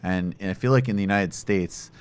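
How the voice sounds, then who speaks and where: A man hosting a political interview, asking long, animated, sardonic questions. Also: low voice, fairly quick, clear, resonant tone. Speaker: host.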